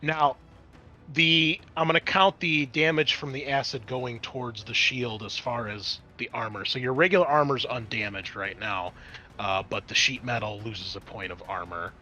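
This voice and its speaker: A man's voice: mid-range voice, steady tone, normal speed, sharp tone. A man acting as game master, narrating a tabletop role-playing game and talking players through the rules.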